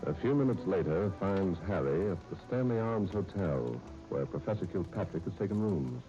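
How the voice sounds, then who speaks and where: A man's voice: deep voice, lively intonation, moderate pace. A man narrating a 1930s radio serial, reading a script to set the scene. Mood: storytelling. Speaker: announcer. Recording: muffled 1939 radio broadcast recording. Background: music.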